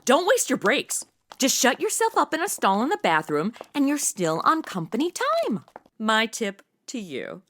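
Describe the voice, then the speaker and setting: Woman acting a sassy, wildly animated young sidekick. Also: on the high side, normal speed, slight background noise. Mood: casual. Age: young adult. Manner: sassy, casual, exclamatory. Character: irreverent, chatty modern young woman sidekick. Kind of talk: comedic audio drama, modern casual speech.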